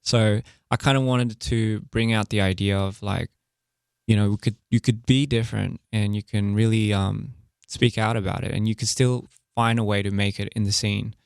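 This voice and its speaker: A young man from Australia speaking in a laid-back, halting way, often pausing to search for words, as a musician guest in a casual radio interview. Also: on the low side, fairly even intonation, gravelly, clean tone, no room sound, studio quality. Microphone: studio microphone.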